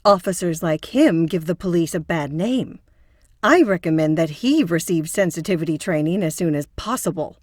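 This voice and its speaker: A woman's voice: lowish voice, expressive, normal speed, darkish tone, no echo, studio quality. A woman playing an earnest, principled psychotherapist with a prim, concerned manner in an audio drama. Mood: indignant.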